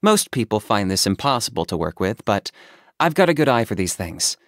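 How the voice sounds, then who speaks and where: An actor voicing a stoic, businesslike young male saurian hunter in a video game, speaking in a measured, matter-of-fact deadpan. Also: fairly low pitch, no echo, clean studio sound. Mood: calm, dry. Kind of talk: scripted video game character monologue.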